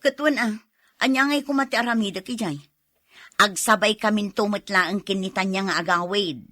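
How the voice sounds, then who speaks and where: A woman's voice: medium pitch, normal speed, sharp tone, dry recording, studio quality. A woman playing a weary but feisty put-upon wife and mother in a radio drama, with an Ilocano accent and a complaining, sighing manner. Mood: resigned.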